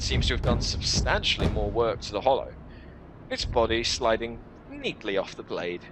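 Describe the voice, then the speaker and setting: A man hosting a gaming video, reading visual novel text aloud with dramatic narration and wry, incredulous asides. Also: medium pitch.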